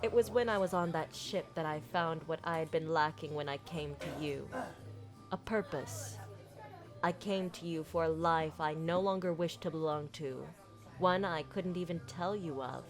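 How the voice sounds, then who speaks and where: Flirty, low-voiced pirate captain played by a woman. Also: natural voice. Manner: theatrical, flowery, sincere phrasing with deliberate pauses. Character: flirty silver-tongued female pirate captain, tender and grateful. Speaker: audio roleplay performer. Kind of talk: ASMR roleplay monologue, romantic storytelling. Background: background music.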